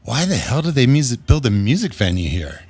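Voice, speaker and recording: A man hosts a relaxed podcast conversation. He sounds curious and encouraging, asking question after question. His voice sits at an ordinary middle pitch for a man. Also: fairly quick, breathy edge. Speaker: podcast host. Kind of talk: casual interview chat. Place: backstage at an outdoor amphitheater.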